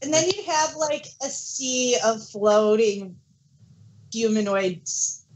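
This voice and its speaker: A woman with a fairly high voice chats at a very unhurried pace as a podcast co-host. Her style is casual banter, full of playful, wry asides. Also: expressive, rough recording. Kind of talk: casual chat, joking banter.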